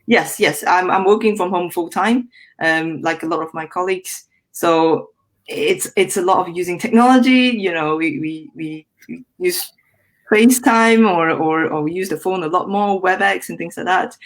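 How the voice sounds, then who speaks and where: A young woman from Malaysia, speaking as a guest in a podcast interview. Her voice sits a little low for a woman. She explains in a friendly way, often pausing on "um" and repeating words as she goes. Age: young adult. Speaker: guest engineer.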